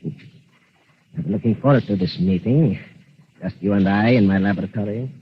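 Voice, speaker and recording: An actor playing a gloating, theatrical mad inventor villain in a vintage radio drama, sneering and cackling. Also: male voice, on the low side, moderate pace, dull audio, natural voice. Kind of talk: 1940s radio acting. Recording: scratchy old radio broadcast.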